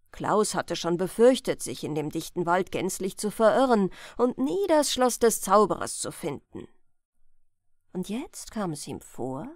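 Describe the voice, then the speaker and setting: Expressive woman narrator with lively intonation. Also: medium pitch, dry recording, clean studio sound. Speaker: audiobook reader. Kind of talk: bedtime fairy-tale narration.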